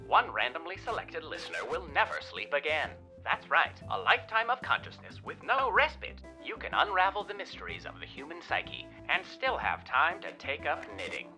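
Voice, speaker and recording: A man playing a manic, sinister radio pitchman in a parody commercial, with exaggerated salesman patter. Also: bright, forceful tone. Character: manic male radio pitchman selling eerie sleepless curse.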